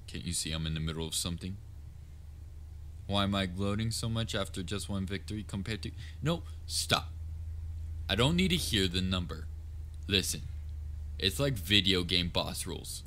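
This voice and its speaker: Man acting a deep-voiced, pompous supervillain. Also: moderate pace, pauses often, bright, forceful tone. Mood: irritated, dismissive. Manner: pompous, gloating, dryly sarcastic. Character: theatrical male supervillain, pompous yet secretly concerned nemesis. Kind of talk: scripted audio roleplay, comedic villain monologue.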